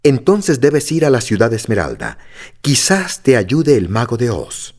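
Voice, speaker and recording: Male narrator doing a sweet old witch, gentle and lilting. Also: mid-range voice, wildly swooping pitch, unhurried, heavily acted. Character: kindly little old witch woman.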